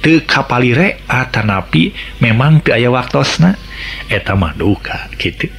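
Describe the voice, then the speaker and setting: A man's voice: medium pitch, animated, a little slow, creaky, fairly bright tone, soft background music. A middle-aged man with a Sundanese accent narrating a radio folk tale, chatting to listeners in asides. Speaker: storyteller.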